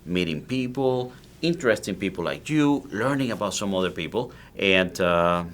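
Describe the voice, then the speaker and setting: A man in his forties with a Cuban Spanish accent, a native Spanish speaker telling his story as a guest in a casual interview, animated and full of restarts. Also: lowish voice, normal speed. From Cuba. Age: 40s. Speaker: guest, cigar maker.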